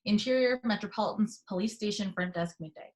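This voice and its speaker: A woman's voice: medium pitch, fast talker, poor audio. Woman reading stage directions. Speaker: narrator of action descriptions.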